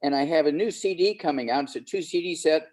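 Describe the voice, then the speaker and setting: A man's voice: fairly high pitch, quick pace, darkish tone. Boastful man in his eighties. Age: 80s. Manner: boastful, rambling with many fillers. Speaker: panel guest. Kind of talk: panel discussion over video call. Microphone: video call.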